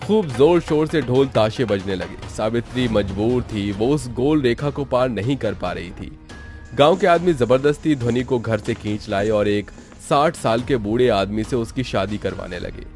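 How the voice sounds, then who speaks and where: A man's voice: medium pitch, expressive, normal speed, a little mellow, faint music behind. A man narrating a scripted horror story for a cartoon.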